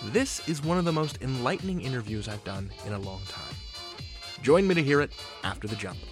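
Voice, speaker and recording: A man's voice: mid-range voice, big pitch swings, fairly quick, over music. An American man hosting a podcast in a chatty, folksy, emphatic way as he introduces and interviews.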